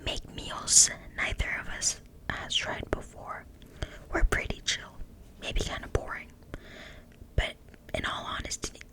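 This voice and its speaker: A woman with a French accent whispering as she reads a book aloud in ASMR style, softly stumbling over words and correcting herself. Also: rough recording. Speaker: ASMR creator.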